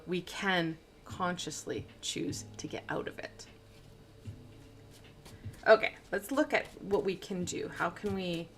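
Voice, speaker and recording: A woman giving a casual livestream tarot reading, rambling and stumbling over words and poking fun at her own slips, into a plug-in microphone. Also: on the low side. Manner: rambling, stumbling, self-deprecating. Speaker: tarot reader. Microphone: plug-in studio microphone.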